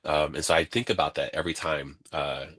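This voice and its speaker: A man from California, a guest on a video-call interview, telling stories in a casual, animated way and acting out what people said. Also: extremely low pitch, fairly quick. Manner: animated, laughing, acts out quoted voices.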